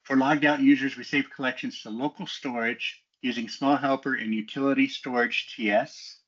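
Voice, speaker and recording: A man's voice: mid-range voice, steady tone, normal speed, fairly bright tone, husky, dull audio. A man giving a methodical, explanatory technical walkthrough as a student developer, in a semi-scripted presentation style.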